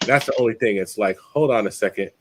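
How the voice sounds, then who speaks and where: A man's voice: lowish voice, fast, a little hiss or hum. A man hosting a livestream, chatting casually and telling a long story, acting out the people in it. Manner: animated storyteller, puts on voices for people in his stories. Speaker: livestream host.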